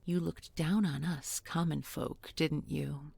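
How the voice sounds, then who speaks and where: A woman's voice: low-pitched, fairly even intonation, creaky, a little mellow, slightly rough, no room sound, full character voice. A woman playing a bookish village beauty turned sly, menacing captor in an intimate audio roleplay, speaking with mock tenderness and often dropping to a whisper. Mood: reproachful, ironic. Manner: deliberate, coaxing, mock-tender with pointed irony. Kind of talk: intimate audio roleplay spoken to one listener.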